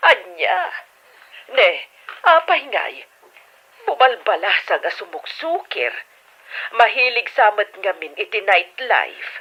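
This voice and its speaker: A woman's voice: fairly high pitch, sing-song, takes it slowly, pauses often, breathy edge, highs cut off, full character voice. A woman acting in an Ilocano audio drama as a concerned, middle-aged sister giving advice, heard through a telephone effect. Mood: concerned, advising. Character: concerned, advising older sister calling from abroad.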